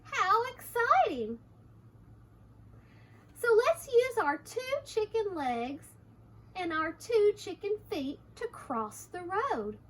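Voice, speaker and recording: A woman's voice: very high-pitched, big pitch swings, takes it slowly, voice pushed up, heavily acted. A woman voicing a silly, enthusiastic male storybook chicken in a bold, exclamatory way. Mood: eager, excited.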